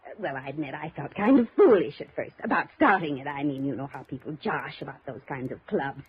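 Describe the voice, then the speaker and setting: Fluttery, husky, chatty old widow. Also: female voice, sits low, animated, fairly quick, exaggerated voice. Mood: fond, chatty. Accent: American. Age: older. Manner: rambling, fluttery, gushing. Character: sweet, chatty, slightly dotty old widow. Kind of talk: 1950s radio drama acting. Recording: dull vintage radio recording.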